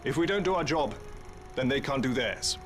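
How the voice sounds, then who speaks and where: An actor playing a crisp, authoritative British army major with an eager streak, in a video game recruitment film. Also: male voice, medium pitch, animated, normal speed, music in the background, close to an everyday voice. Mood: brisk, proud. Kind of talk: military recruitment film narration.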